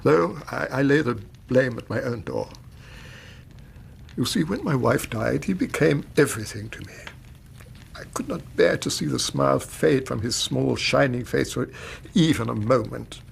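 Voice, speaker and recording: A middle-aged English banker in a radio drama, a widowed and doting father sick with worry. His voice creaks as he speaks in formal, halting, agitated phrases. There is faint noise behind him. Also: medium pitch, lively intonation. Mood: regretful.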